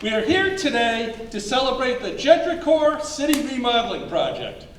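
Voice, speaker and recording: A man's voice: very high voice, very animated, normal speed, crowd noise, full character voice. A man playing an upbeat corporate leader giving a formal presentation in a staged reading. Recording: echoey hall, distant mic, faint noise.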